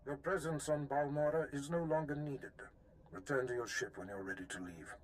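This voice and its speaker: An actor playing a stern, authoritative Imperial figure in a video game, speaking formally and deliberately. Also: male voice, mid-range voice, lightly acted, low-quality recording. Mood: brisk, commanding. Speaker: game character.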